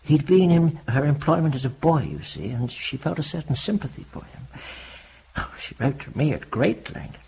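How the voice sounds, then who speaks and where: An actor playing a reflective, gently probing prison chaplain with a husky, gravelly voice in a radio drama. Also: male voice, on the high side, big pitch swings, moderate pace, dull audio, heavily acted. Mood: reflective, earnest.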